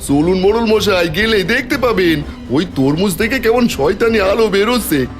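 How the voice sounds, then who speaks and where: A man's voice: sits high, animated, normal speed, soft background music. A man playing a cunning, jealous village schemer in a cartoon story, speaking with urgent insinuation. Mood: accusing, urgent.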